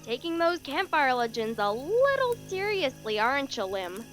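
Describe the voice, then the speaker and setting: A woman's voice: high-pitched, very animated, moderate pace, fairly bright tone, faint music behind. A woman playing a skeptical, teasing female soldier in a fan audio drama.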